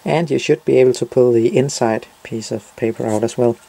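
A man's voice: mid-range voice, a little fast, vocal fry, dark tone. A man narrating a step-by-step DIY tutorial in short, simple, matter-of-fact instructions.

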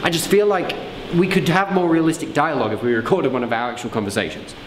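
A man's voice: fairly high pitch, fast. A young man in an amateur short film, talking in a cocky, dramatic way. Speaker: student actor. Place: school hallway. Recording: echoey hallway with long reverb and noise.

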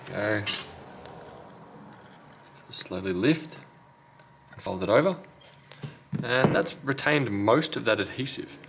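A man's voice: fairly low pitch, animated, takes it slowly, vocal fry, pauses often, fairly bright tone, a little hiss or hum. A man talking casually and matter-of-factly through a hands-on repair tutorial, step by step, as a technician working at a bench. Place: indoor repair workbench.

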